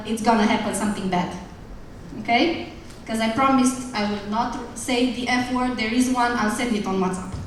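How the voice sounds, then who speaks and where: A Romanian woman teacher with a Romanian accent giving a direct, humorous graduation speech to her students. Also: on the high side. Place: large school hall. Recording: echoey hall from far off, some noise.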